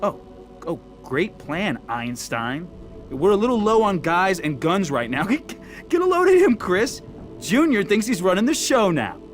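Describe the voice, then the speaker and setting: An actor playing a brash, sarcastic rebel fighter in a video game, mocking, excitable and streetwise. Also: male voice, high voice, measured pace, soft background music. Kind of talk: game cutscene dialogue.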